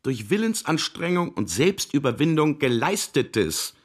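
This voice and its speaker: Radio drama: good-natured, earnest youth, animated intonation, straightforward and insistent delivery. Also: male voice, on the high side, measured pace. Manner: insistent, plain-spoken. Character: earnest, good-natured young man arguing about merit. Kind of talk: German radio drama acting.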